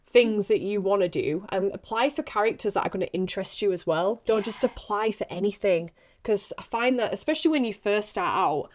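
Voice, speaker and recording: A woman's voice: mid-range voice, brisk. A woman actress in a casual podcast chat, earnest and encouraging, often repeating herself for emphasis. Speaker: actress guest.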